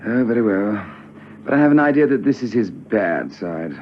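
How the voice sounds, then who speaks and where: A man's voice: fairly low pitch, animated, normal speed, lightly acted. An actor in a radio drama playing a mild, fussy male photographer. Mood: mildly fussy. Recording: dull old radio recording, faint noise.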